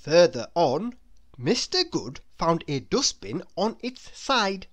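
Slow, very animated woman storyteller. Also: on the low side. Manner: expressive, deliberate storytelling. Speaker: storybook narrator. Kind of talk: children's read-aloud, scripted narration.

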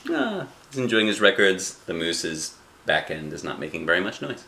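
A middle-aged man reading aloud to a child as a dad would, playful and expressive, with chatty asides and questions. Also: deep voice, moderate pace, vocal fry. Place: small living room indoors. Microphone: camera a short distance away.

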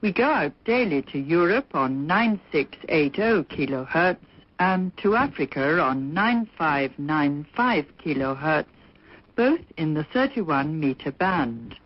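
A woman's voice: low voice, expressive, unhurried, slightly rough. A woman radio announcer reading out a scripted broadcast schedule for an international shortwave service. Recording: dull, noisy shortwave radio recording.

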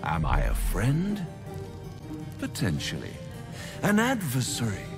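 A man playing a suave, mocking, menacing devil in a video game, with grandiloquent, drawn-out delivery. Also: mid-range voice, huge pitch swings, music in the background, exaggerated voice. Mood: theatrical, menacing. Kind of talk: video game dramatic acting.

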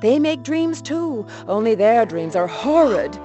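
Game cartoon mother: reassuring, gentle, animated intonation, soothing storyteller manner, music underneath. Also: a little high, a little slow, highs cut off. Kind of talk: animated game cutscene acting.

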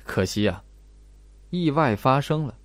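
A man's voice: medium pitch, lively intonation, a little slow, no echo, lightly acted. A man voicing a cold, methodical investigator in an audiobook, laying out an accusation. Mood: accusing. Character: cold, probing male investigator laying out evidence. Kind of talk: audiobook character acting.

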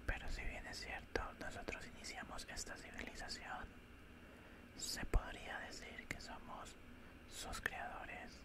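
A man voicing a cold, condescending alien scientist in a whispered ASMR roleplay, speaking in a calm, clinical, breathy whisper close to the microphone. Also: natural voice. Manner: slow, calm, clinical, faintly menacing. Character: cold, condescending male alien scientist examining a human specimen. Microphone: close-up studio microphone.

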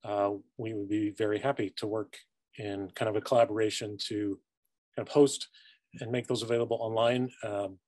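Middle-aged man, lowish voice, measured and halting. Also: flat delivery, a little mellow, no room sound. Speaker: panelist from a university media collections service. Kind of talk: panel answer on a video call. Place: office with bookshelves, indoors. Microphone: video call computer mic.

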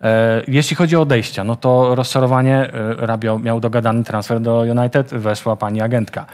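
A man talking football as a studio pundit, steady and evaluative, in a TV studio. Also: mid-range voice, brisk.

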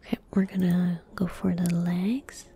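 Young woman, soft breathy whisper, ASMR gameplay commentary, slow and gentle, very even pitch, close mic. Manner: gentle, unhurried, murmuring. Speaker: streamer playing a video game. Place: small indoor room at a desk. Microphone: close desktop microphone. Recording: close-miked with faint background noise. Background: faint game ambience.

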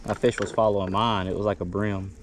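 A man, chatting casually and coaching his young son while bass fishing from a boat. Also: flat delivery, fast talker, crowd noise. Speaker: fishing channel host. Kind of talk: casual outdoor vlog talk. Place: outdoors on a bass boat on a river. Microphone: action camera on the boat. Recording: outdoor camera audio with clear wind and water noise.

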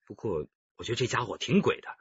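An actor playing a cautious, joking husband in a radio drama, with a theatrical teasing manner. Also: male voice, lowish voice, animated, fast talker, creaky, slightly rough, no echo, dull audio, full character voice. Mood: hesitant, wary. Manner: theatrical teasing, hesitant when worried.

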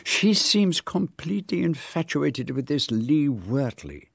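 A fussy, precise detective in a radio play. He speaks in a measured, deliberate way. The recording is dry and close. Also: fairly high pitch, very animated, vocal fry, breathy edge, no room sound, full character voice. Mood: probing. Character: fussy, precise male detective, middle-aged. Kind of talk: first-person narration and dialogue.

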